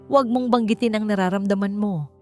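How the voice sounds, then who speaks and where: A woman acts out a young Filipina betrayed in love in an audiobook drama. She is furious and heartbroken, accusing in a trembling, emotional voice. Soft music runs underneath. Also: medium pitch, a little fast, no room sound, pitched above the performer's own voice, natural voice. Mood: tearful fury.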